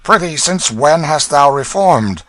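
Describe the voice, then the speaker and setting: A man's voice: mid-range voice, expressive, moderate pace, pushed and bright, dry recording, voice pushed up. A man voicing a sly young beggar and thief who talks in a scornful, wheedling, bossy way. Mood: mocking scorn.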